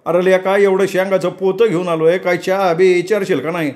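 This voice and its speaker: A man doing a loud, pushy village farmhand. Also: high voice, level tone, fairly quick, pitched above the performer's own voice, close to an everyday voice. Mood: boisterous, coaxing. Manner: loud, coaxing banter. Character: loud, chatty male farmhand, pushy and boastful.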